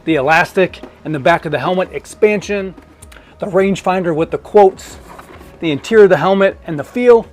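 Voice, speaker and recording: A man's voice: high-pitched, a little fast, faint music behind. A man giving a casual, informal product review as an online collectibles reviewer.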